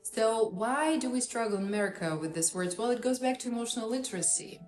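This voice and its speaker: A woman's voice: fairly high pitch, animated, quick pace, a little mellow. Russian-accented woman therapist explaining. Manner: earnest, explanatory, with fillers. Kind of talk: educational talk to camera. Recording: echoey room with faint music.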